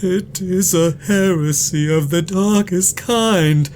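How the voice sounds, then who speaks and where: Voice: mid-range voice, takes it slowly, darkish tone, a little hiss or hum, close to an everyday voice. An actor playing an indignant, fanatical cult follower, haughty and melodramatic, in an audio drama.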